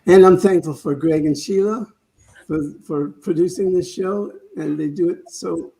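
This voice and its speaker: A man leading a spiritual service with informal, reflective talk and prayer, halting as he goes. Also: high voice, vocal fry, warm tone, poor audio.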